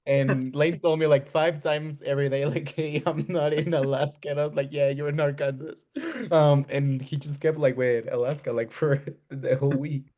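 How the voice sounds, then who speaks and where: A man's voice: on the high side, flat delivery, moderate pace, dark tone. Spanish-born man telling a story. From Spain. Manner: storytelling, laughing. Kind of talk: casual video call roundtable.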